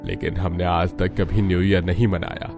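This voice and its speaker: Man voicing a deep, firm older father-in-law. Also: flat delivery, normal speed. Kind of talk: Hindi cartoon story dialogue. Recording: music behind the voice.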